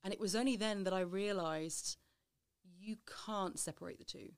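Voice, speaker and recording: A woman in her thirties, a life coach, telling her own story in an interview, open and quick to laugh. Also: mid-range voice, clean tone. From Britain, raised between Scotland, Devon and Wales. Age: 30s. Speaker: life coach, podcast guest.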